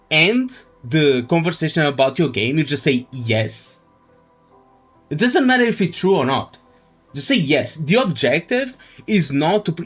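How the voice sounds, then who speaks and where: A man chatting casually on a game livestream, giving opinions and repeating phrases as he explains his points. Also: on the high side. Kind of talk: casual livestream chat and commentary. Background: faint music.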